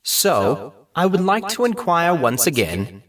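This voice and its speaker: A man's voice: a little high, big pitch swings, slow, a bit bright, recorded from a distance, pitched above the performer's own voice, heavily acted. Man putting on a showy, grandiose male emcee. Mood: playful, grand. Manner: formal, grandiose, playful. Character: showy, playful male master of ceremonies at a school.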